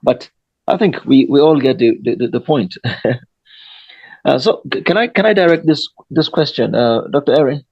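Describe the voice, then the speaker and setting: A man's voice: medium pitch, animated, moderate pace, vocal fry, husky, no room sound. A man with a Nigerian English accent hosting a panel discussion, hesitant with many fillers, over a lo-fi online call. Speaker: host.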